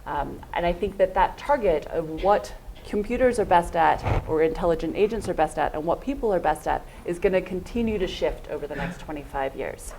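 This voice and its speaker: A woman's voice: fairly low pitch, expressive, fairly quick, a little mellow, slight background noise, rough recording. A woman giving a thoughtful conference talk, often hedging with "I think" and "um". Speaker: panel speaker.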